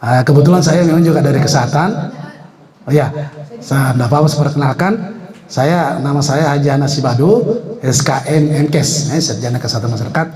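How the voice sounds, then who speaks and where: A man's voice: a little high, brisk, darkish tone. A man in his sixties, a retired health official, gives an informal, joking speech at a meeting, with frequent hesitations. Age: 60s. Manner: chatty and joking, with many hesitations. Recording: echoey room.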